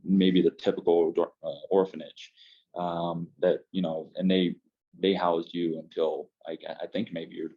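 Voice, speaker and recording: A man's voice: sits low, level tone, measured pace, dull audio. A man recalling his adoption in an interview, speaking hesitantly with many fillers and restarts. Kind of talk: personal interview.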